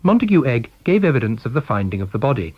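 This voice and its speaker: British man narrating with lively, swooping pitch. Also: fast talker, slightly rough, no echo. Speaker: audiobook reader. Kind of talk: scripted story narration. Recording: dull, band-limited recording.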